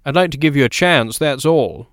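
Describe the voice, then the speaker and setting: Narrator doing a blunt newspaper bloke, brisk n dry humoured, punchy delivery, audiobook, no room echo. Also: male voice, mid-range voice, lively intonation, fairly bright tone, voice pushed up. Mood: brisk, decisive. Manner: brisk, forthright, emphatic. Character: blunt, dryly amused newspaper man. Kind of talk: audiobook character dialogue.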